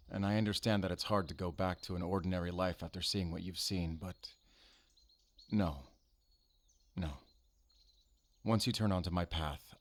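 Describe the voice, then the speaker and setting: Audio roleplay lead: man as brooding male werewolf hunter, low voice, halting and solemn, guarded yet intimate. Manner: halting, measured, solemn, intimate address to listener. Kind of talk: cinematic audio roleplay acting.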